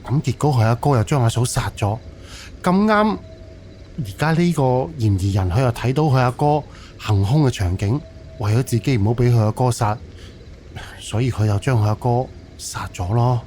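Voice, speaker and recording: A man's voice: mid-range voice, moderate pace, a little mellow, lightly acted. A man voicing an earnest, flustered amateur investigator in a Cantonese audio drama, explaining things in a hesitant, colloquial way. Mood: sheepish, explaining. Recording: faint background noise.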